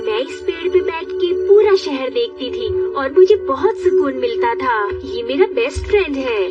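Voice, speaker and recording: A woman's voice: sits high, lively intonation, moderate pace, distant mic. A woman playing a headstrong, emotional young woman in a dubbed cartoon, speaking with dramatic emphasis. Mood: nostalgic, friendly. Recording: muffled lo-fi cartoon audio with music.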